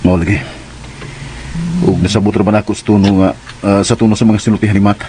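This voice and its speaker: A man's voice: lowish voice, flat delivery, fast, warm tone, lightly acted. A man acting an earnest, troubled young man in a radio drama, speaking seriously. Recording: heavy background noise.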